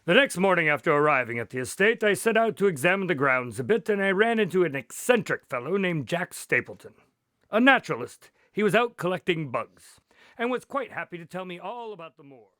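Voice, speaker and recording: A man playing a courteous doctor and investigator in a radio drama, with polite, formal, measured phrasing. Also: high-pitched, very animated, fairly bright tone, no echo, clean studio sound. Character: courteous male doctor and investigator recounting a case.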